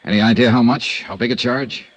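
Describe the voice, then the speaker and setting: An actor playing a hardboiled American insurance investigator who narrates in first person and talks in a terse, matter-of-fact way, in a 1950s radio drama. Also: male voice, medium pitch, steady tone, a little fast, close to an everyday voice, low-quality recording. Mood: steady. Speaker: narrator and lead detective. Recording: old radio transcription with music bed.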